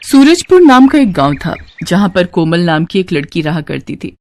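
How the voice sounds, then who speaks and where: A woman's voice: lowish voice, big pitch swings, normal speed, darkish tone. A woman narrating a scripted moral story as a storyteller.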